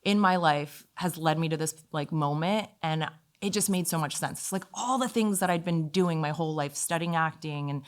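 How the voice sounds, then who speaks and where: A woman with a slightly low voice speaks as a podcast guest. She tells personal stories casually and informally, with an animated way of acting out remembered conversations. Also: normal speed. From Italy. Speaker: podcast guest, fitness instructor.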